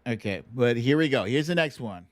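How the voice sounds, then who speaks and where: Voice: mid-range voice, animated, brisk. A livestream host chatting casually and reading out names in a playful, informal, self-deprecating way. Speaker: livestream host running a song-guessing game.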